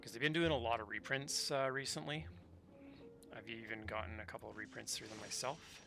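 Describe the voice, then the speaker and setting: Male streamer, mid-pitched, loose rambling chat with game music clearly underneath. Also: fairly even intonation, a little fast. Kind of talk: casual chat during livestream. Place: indoors, game audio and music behind.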